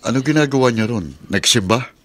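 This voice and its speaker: A man playing a forgiving middle-aged father who drives a pedicab, acting in Filipino radio drama with an earnest manner that turns halting when moved. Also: mid-range voice, animated, fairly bright tone, close to an everyday voice. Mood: concerned. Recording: radio drama with clear background noise.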